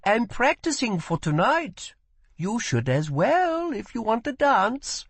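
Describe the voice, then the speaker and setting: A man's voice: extremely high pitch, sing-song, slow, fairly bright tone, slightly rough, dry recording, pitched above the performer's own voice, heavily acted. A man voicing a lovestruck young woman who speaks careful, accented, formal English. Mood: earnest. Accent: foreign-accented English.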